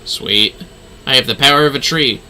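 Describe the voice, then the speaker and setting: A man gives casual game-stream commentary in a very bright, forward voice. He tosses out joking asides, often muttered under his breath. Noise sits clearly behind him. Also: medium pitch, normal speed, low-quality recording. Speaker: game livestreamer.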